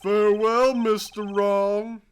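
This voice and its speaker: A woman voicing a pompous, villainous male executive in a game fan dub, haughty, condescending and deliberate. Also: medium pitch, level tone, a little hiss or hum, close to an everyday voice. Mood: gloating, threatening.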